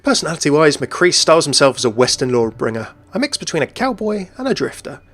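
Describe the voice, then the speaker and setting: A man's voice: a little high, big pitch swings, fast, a bit bright. A man reading scripted narration for a video essay.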